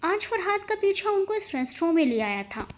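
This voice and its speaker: A woman reading a scripted story as its narrator, with expressive delivery. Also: very high-pitched, brisk, a little hiss or hum.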